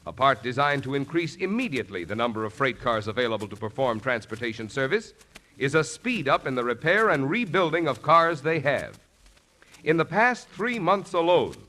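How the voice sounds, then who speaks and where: A polished American male radio announcer reading formal scripted copy. His voice is bright and slightly high, with lively pitch movement, on a vintage 1950 broadcast recording. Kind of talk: scripted 1950s radio sponsor narration.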